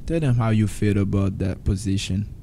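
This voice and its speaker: A man in his twenties from Guadeloupe with a French Caribbean accent, co-hosting a university podcast in relaxed, hesitant casual chat. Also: fairly low pitch, moderate pace, a little hiss or hum. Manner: relaxed, hesitant, many fillers. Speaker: podcast co-host.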